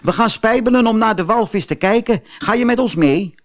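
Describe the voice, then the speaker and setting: A man's voice: high voice, animated, brisk, voice pushed up. A man voicing a gang of teasing schoolboys in a storybook. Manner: teasing, jeering. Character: mocking young schoolboys.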